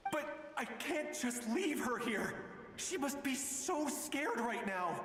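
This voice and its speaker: High-voiced desperate father. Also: very animated, slow pace, a bit bright, heavy reverb, long reverb tail, exaggerated voice. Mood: worried pleading. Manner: urgent, anxious pleading. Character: desperate, frightened father searching for his missing daughter. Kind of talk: video game voice acting. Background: game music.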